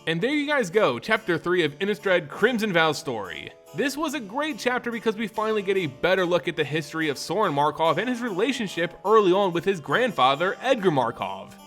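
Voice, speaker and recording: Man, slightly high voice, expressive scripted fantasy narration, theatrical storyteller, soft music underneath. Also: brisk, hardly any pauses. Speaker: lore storyteller and channel host.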